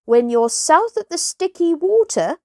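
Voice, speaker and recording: A woman's voice: very high-pitched, sing-song, measured pace, darkish tone, no room sound, studio quality, pitched above the performer's own voice, exaggerated voice. A woman voicing a knowing male porpoise who leads his school, bossy and instructive, in a children's storybook. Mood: brisk, instructive. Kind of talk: children's storybook character reading.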